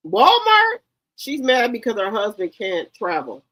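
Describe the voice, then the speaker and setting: A woman's voice: a little high, wildly swooping pitch, unhurried, a bit bright. A woman in her fifties hosting a gossip livestream, chatting straight to her viewers. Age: 50s. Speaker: livestream host.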